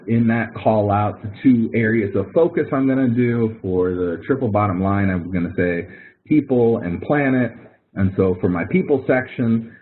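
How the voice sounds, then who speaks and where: A man's voice: deep voice, breathy edge. A man leading an informal webinar as an instructor, explaining step by step in a conversational way and correcting himself as he goes. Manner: conversational, self-correcting, talks through examples step by step. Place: online webinar call. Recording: tinny, narrow-band online call audio.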